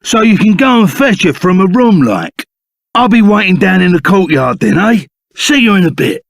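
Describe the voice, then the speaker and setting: An actor playing a rough, loyal, excitable henchman in a video game, with a gravelly voice, a Cockney accent and blunt, chummy, slangy talk. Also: high-pitched, normal speed, no room sound, heavily acted. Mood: chummy, casual. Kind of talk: video game character voice acting.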